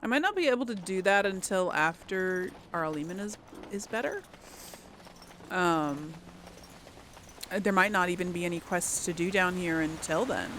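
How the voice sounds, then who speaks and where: A woman's voice: fairly low pitch, moderate pace, fairly bright tone, a little hiss or hum. A woman giving casual, rambling game commentary to viewers, thinking aloud as she plays. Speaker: gaming host.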